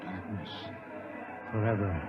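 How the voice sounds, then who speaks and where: An actor playing a resolute observatory colleague in a radio drama, speaking in short, firm phrases. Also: male voice, low-pitched, takes it slowly, over music. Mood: despairing. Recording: dull lo-fi, loud crowd behind.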